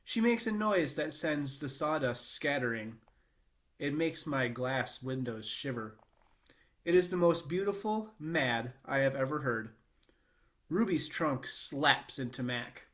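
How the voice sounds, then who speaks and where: A man's voice: medium pitch, fairly bright tone. Man reading a children's novel aloud. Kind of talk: expressive read-aloud narration.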